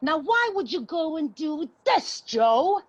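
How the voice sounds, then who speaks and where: A woman's voice: high voice, very animated, slow pace, lo-fi sound, full character voice. A woman playing a defiant, needling homeless woman in a dramatic play reading, confrontational and sardonic. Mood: wounded, incredulous.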